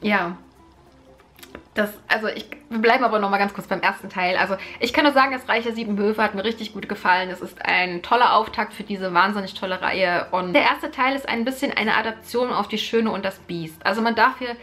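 A woman's voice: medium pitch, fairly quick, bright, forceful tone. A young woman from Germany talking casually and enthusiastically about a book series, with lots of fillers and repeated words, in a living room close to the camera. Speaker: book vlogger. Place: living room in front of a sofa.

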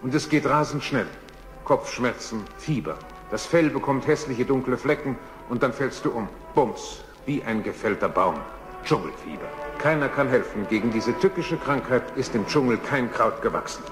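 A man's voice: mid-range voice, animated, moderate pace, echoey room, music in the background, lightly acted. A man playing a thoughtful older animal adviser, telling the tale in a children's radio play. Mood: ominous narrating.

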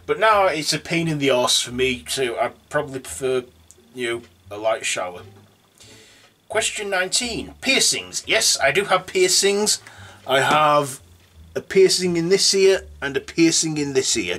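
A man's voice: fairly high pitch, pushed and bright. Rambling young man. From Yorkshire, England. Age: 20s. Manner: rambling, with restarts, reading questions aloud. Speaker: YouTuber. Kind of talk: casual tag video. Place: room at home, indoors.

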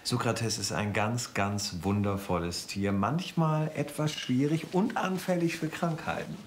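A man's voice: medium pitch, a little slow, close to an everyday voice. A man playing a proud, old-fashioned count who speaks formally and hesitantly in a radio play. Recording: light background noise.

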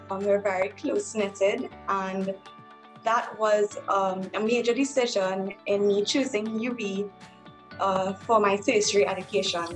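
A woman in her twenties with a Trinidadian accent, a university student introducing herself on a video call. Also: mid-range voice. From south Trinidad. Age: 20s. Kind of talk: self-introduction on a panel. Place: living room, video call.

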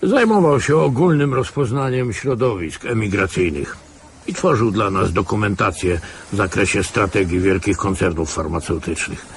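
Radio drama: man playing retired intelligence colonel, older, low gravelly voice, condescending and guarded, faint noise behind. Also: normal speed, natural voice. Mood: wry, condescending. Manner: wry, condescending.

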